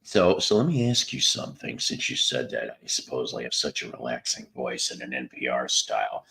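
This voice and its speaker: A man hosting a casual chat show, telling a long story in a relaxing voice, rambling with pauses and restarts. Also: very deep voice, big pitch swings, creaky, bright, forceful tone, low-quality recording. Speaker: host.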